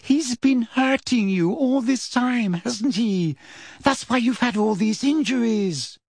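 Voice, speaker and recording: A man's voice: extremely high pitch, takes it slowly, darkish tone, slightly rough, no echo, clean studio sound, pitched above the performer's own voice, full character voice. A man voicing an anxious teenage girl in an audiobook, emotional, urgent and incredulous. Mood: shrill. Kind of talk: audiobook character voice.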